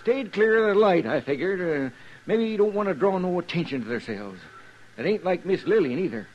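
A chatty small-town fella, high drawly voice, muffled hissy tape. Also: male voice, animated, a little fast. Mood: gossipy. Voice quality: folksy drawl. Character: talkative male townsman witness. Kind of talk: 1950s radio drama acting. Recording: dull, hissy 1950s radio recording.

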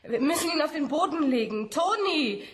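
High-voiced female doctor, very echoey. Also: lively intonation, normal speed. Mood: urgent, alarmed. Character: urgent, commanding female doctor. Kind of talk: German radio drama acting. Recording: very echoey, noisy room sound.

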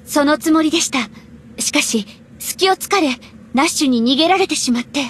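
A woman's voice: sits high, animated, brisk, a bit bright, a little hiss or hum. A woman voicing a courteous young warrior in an anime game, formal, composed and caring. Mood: earnest, explanatory. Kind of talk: Japanese anime game voice acting.

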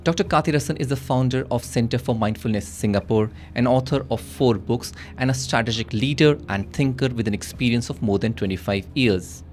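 A man's voice: medium pitch, brisk, hardly any pauses, a little mellow. A man in his thirties hosting a podcast, reading a scripted intro and asking interview questions in a studio. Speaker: podcast host. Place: studio with dark wall. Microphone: studio mic with headphones.

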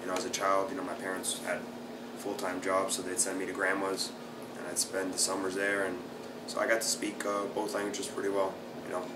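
Thirties male fighter, low and fairly monotone, easygoing with many fillers; recorded far off in a reverberant room with noise. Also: a little fast, hardly any pauses. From Brazil, raised in South Florida. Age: 30s. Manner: relaxed, many fillers like uh and you know. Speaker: jiu-jitsu fighter and instructor. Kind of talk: casual interview answers. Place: small plain room indoors, seated in office chair. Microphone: camera at a distance. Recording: echoey room, distant mic, background hum.

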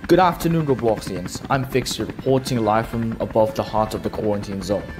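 A man's voice: on the low side, brisk, over music, natural voice. A man playing a solemn, dramatic field news reporter giving a scripted live report from a helicopter. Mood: grave. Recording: noisy helicopter and vehicle din.

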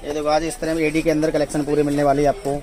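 A young man pitching his stock at a rapid clip, his voice sitting a little high. He keeps repeating prices in a coaxing way. Crowd chatter from a busy indoor market fills the background. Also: monotone. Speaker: wholesale shopkeeper. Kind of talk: sales pitch showing products. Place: crowded indoor wholesale shop. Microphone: lapel mic.

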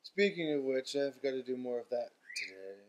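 A man's voice: medium pitch, lively intonation, moderate pace, a little hiss or hum. A man in his twenties talking casually in a weary, dryly sarcastic, grumbling way, as in a rambling video diary at home on a webcam. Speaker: vlogger. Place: room in a house.

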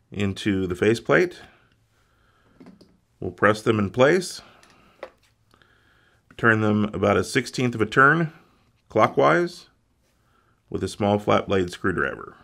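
A man with a somewhat low voice explains a circuit repair one step at a time. His delivery is plain and methodical, like a workshop tutorial. He keeps an even, instructional tone throughout. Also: gravelly.

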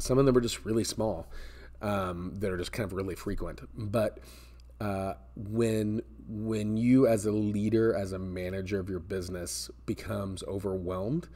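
Low-voiced American man giving casual advice. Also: warm tone. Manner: conversational, explanatory, emphatic repetition. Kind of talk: casual advice talk to camera. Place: indoor office space with metal ceiling and glass garage door.

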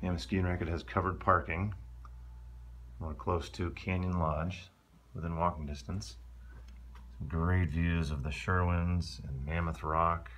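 Deep-voiced man touring a condo. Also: unhurried, slight background noise. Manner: relaxed, offhand narration while walking. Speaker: real estate agent. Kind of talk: casual property walkthrough. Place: condo interior, walking through rooms.

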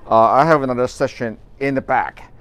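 Middle-aged Taiwanese-accented man, mid-pitched and smooth, hosts a relaxed orchid stream from a greenhouse, chatty and full of restarts. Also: brisk. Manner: rambling, many fillers and restarts, gestures while talking. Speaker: orchid grower and show host. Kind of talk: casual live-stream talk. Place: large greenhouse.

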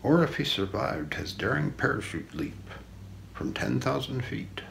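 Middle-aged male ASMR narrator: unhurried, hushed true-crime read with wide swings in pitch, faint background noise. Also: sing-song, vocal fry. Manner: hushed, deliberate, often breaking into near-whisper. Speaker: ASMR role-play narrator. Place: small room indoors.

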